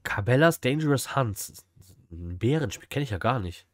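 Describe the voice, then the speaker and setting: A man's voice: mid-range voice, expressive, moderate pace. A man doing casual live-stream reaction commentary, chatting with viewers in short colloquial exclamations. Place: indoor streaming room. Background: outdoor flea market video noise.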